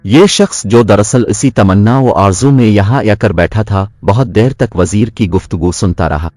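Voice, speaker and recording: Low-voiced male storyteller in a dry recording. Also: moderate pace, darkish tone, dry recording. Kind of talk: scripted moral story narration.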